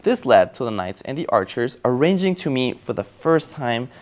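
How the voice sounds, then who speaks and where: A man's voice: mid-range voice, sing-song, normal speed, slight background noise. A man narrating a scripted children's fairy tale as a storyteller.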